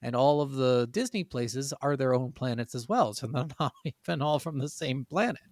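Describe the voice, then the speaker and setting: A man's voice: medium pitch, animated, normal speed, a little mellow, dry recording, clean studio sound. A man co-hosting a casual gaming podcast, talking informally and swearing freely. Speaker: podcast co-host.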